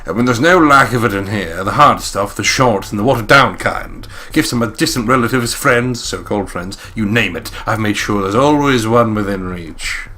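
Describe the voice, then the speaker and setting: Bright, forceful low-voiced man. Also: normal speed, hardly any pauses. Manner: excitable, sweary, jokey ad-libs. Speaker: let's play host reading aloud. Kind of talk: dramatic reading of a visual novel with joking commentary. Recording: music behind the voice. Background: game music.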